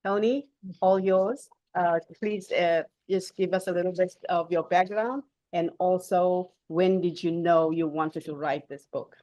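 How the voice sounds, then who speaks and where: A woman hosting a panel in an informal way, often halting as she searches for words. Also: fairly low pitch, steady tone, dark tone, dull audio. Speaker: panel moderator.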